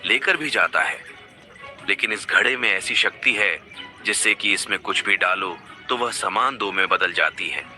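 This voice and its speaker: A man voicing a calm, cryptic sage in a devotional cartoon, sounding certain and mildly dismissive. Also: fairly low pitch, moderate pace, faint music behind. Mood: instructive. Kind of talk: animated devotional story dialogue.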